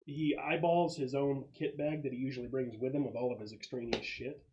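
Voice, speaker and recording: A man working as game master, improvising narration in a halting, casual and sometimes profane way. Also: mid-range voice, steady tone, a little mellow, dull audio.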